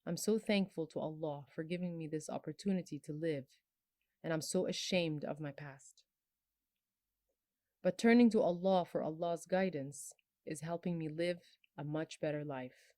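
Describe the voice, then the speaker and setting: Earnest low-voiced woman in her twenties. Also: moderate pace, mellow, no room sound, clean studio sound. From Bangladesh. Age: 20s. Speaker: storyteller sharing a personal testimony. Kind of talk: personal testimony.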